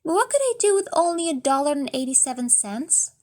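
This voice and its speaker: A woman's voice: high-pitched, big pitch swings, fairly quick, a little mellow, voice pushed up. A woman voicing an anxious, affectionate young wife in a storybook reading, pleading and emotional in manner. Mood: worried.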